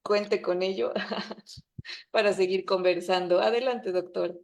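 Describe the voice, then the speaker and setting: A woman's voice: mid-range voice, steady tone, slow, gravelly, a little mellow. Joking woman moderating. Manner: friendly, joking. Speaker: seminar moderator. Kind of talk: casual moderating.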